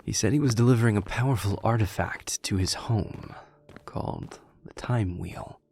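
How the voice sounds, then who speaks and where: A man playing an earnest, courteous exiled warrior king in an ASMR audio roleplay, with a hushed, hesitant delivery. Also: on the low side, normal speed, vocal fry, a little hiss or hum. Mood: grave. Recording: music under the voice.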